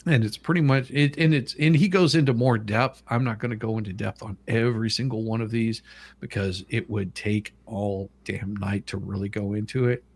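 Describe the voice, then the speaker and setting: A man's voice: fairly low pitch, steady tone, moderate pace. A man reading legal documents aloud and adding casual, blunt commentary, sometimes stumbling over the formal wording, as co-host of a livestream.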